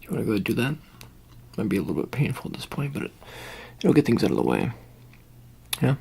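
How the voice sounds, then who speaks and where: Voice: medium pitch, big pitch swings, quick pace, vocal fry, mellow, slight background noise. An ASMR performer in a roleplay as a secretive, reassuring handler, murmuring improvised instructions to the listener in a hesitant, rambling way. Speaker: ASMR performer playing a character who preps the listener. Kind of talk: whispered ASMR roleplay, improvised personal attention.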